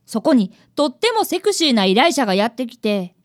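A woman's voice: on the high side, sing-song, fairly quick. A woman voicing an eager fifth-grade boy, an apprentice detective, in a radio drama. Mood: earnest narrating. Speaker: actor and narrator in a radio drama. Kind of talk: radio drama acting and narration.

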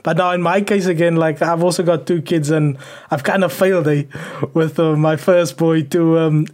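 A man's voice: a little high, steady tone, normal speed, a little mellow. A man from South Africa leading a casual chat, talking conversationally with frequent tag questions and fillers.

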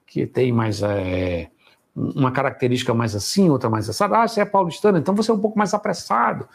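A man gives a Bible lecture, his voice high and husky. He explains in a relaxed, conversational way, checking in with his listeners through frequent tag questions.

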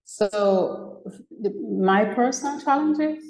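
Earnest Jamaican-born woman, mellow voice. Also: a little high, lively intonation, slow pace, echoey room, low-quality recording. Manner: earnest, repeats herself, fillers like "you know". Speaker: guest, women's mentor. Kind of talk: conversational interview.

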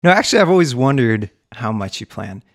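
A man shares his thoughts on a casual podcast in a reflective, easygoing way, often laughing. His voice sits at a middle pitch and the recording is dry and close. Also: big pitch swings, brisk, no echo. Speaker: podcast co-host.

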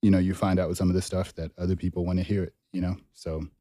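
A young man from Zimbabwe co-hosting a podcast, talking in a relaxed, rambling way and laughing easily. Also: deep voice, fast, dry recording, studio quality. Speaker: podcast co-host. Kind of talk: casual chat.

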